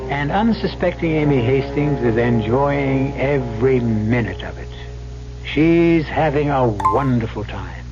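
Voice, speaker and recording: A man hosting and narrating a radio mystery in a measured, wry scripted manner. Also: mid-range voice, expressive. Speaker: host and narrator. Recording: muffled old radio broadcast with music. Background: music.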